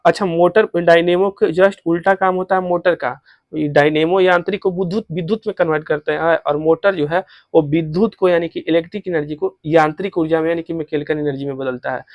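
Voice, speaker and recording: A man with a Bihari accent speaks in a fairly high voice, close and dry. He teaches like a coaching tutor, posing a question, answering it and repeating it so it sticks, with English terms mixed in. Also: gravelly, no echo, lo-fi sound. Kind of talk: tutorial explanation of exam questions.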